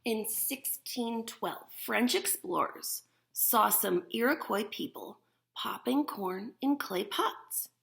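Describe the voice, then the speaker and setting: A woman's voice: on the high side, takes it slowly, creaky, a bit bright. A woman teacher reading a picture book aloud to young children, expressively and with simple explanations. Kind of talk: animated picture-book read-aloud with demonstration asides.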